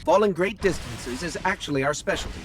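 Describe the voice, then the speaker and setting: A man's voice: on the high side, expressive, brisk, a little hiss or hum, natural voice. A man voicing an upbeat, curious robotic guide in a cinematic game, with a wry, quippy delivery.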